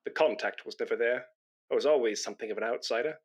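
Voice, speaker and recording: A man's voice: medium pitch, fast talker, slightly rough, lightly acted. An actor playing a pompous, self-important man in a British radio comedy, blustering and indignant, with a matey know-all manner. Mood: smug, self-important.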